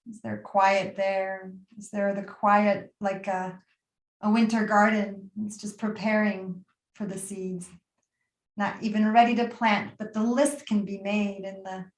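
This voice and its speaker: A woman teaching a guided yoga nidra meditation in a soothing, unhurried way, leaving pauses between trailing phrases. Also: medium pitch, little pitch movement, reverberant.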